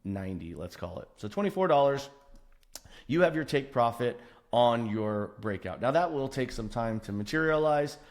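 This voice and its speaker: A man's voice: mid-range voice, expressive, normal speed. A man presenting an unscripted trading chart walkthrough, stressing and repeating key words and often checking in with the listener. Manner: emphatic, repeats intensifiers, checks in with "right?". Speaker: stock trading analyst presenting a chart breakdown.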